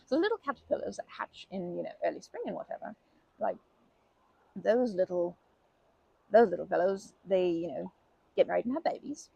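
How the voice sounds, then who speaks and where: Woman playing a creaky, breathy, kindly old grandma. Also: on the low side, big pitch swings, moderate pace, pauses often, no room sound, muffled recording, full character voice. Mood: chatty, rambling. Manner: gentle, rambling, folksy wording, soothing asides. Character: kindly, chatty old grandma comforting a crying youngster. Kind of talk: ASMR comfort roleplay.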